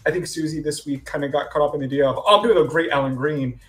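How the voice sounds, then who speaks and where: A man co-hosting a casual review chat, giving measured, thoughtful opinions. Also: on the high side, fairly even intonation, fairly bright tone. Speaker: podcast co-host.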